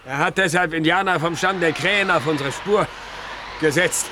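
An actor in a German radio play, playing a proud, weary older Native chief and father with a solemn, measured, declamatory delivery. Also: fairly high pitch, lightly acted. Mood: weary, grave. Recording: clearly noisy old radio recording.

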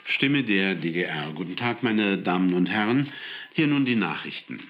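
Solemn east german radio announcer, real deep, formal, muffled lo-fi noisy sound. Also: male voice, animated, moderate pace. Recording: dull lo-fi radio with noise.

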